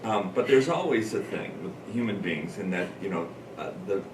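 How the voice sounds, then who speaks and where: A man's voice: sits low, lively intonation, moderate pace. A man who teaches drama, talking informally and explaining his approach as he thinks aloud. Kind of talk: informal talk to an audience about teaching. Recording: roomy, noisy, distant microphone.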